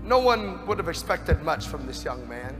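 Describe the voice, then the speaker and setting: A man's voice: a little high, big pitch swings, normal speed. A man acting a dignified, fervent revival witness of the early 1900s, narrating a stage play with solemn, dramatic emphasis. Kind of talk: theatrical monologue in a stage play. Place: stage of a large dark theatre. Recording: echoey hall, music behind.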